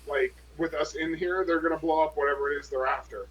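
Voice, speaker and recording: A man's voice: fairly high pitch, fairly even intonation, quick pace, fairly bright tone, slightly rough, low-quality recording. A man chatting casually as a player in a tabletop game, insistent and restarting his questions.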